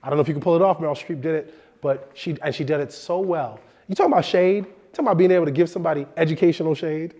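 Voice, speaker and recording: A man in his thirties hosting a film production lesson in a studio, animated and expressive, sounding a bit nasal from a cold. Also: a little high, rapid-fire, hardly any pauses. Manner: animated, expressive, gestures with hands. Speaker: host. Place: indoor studio set.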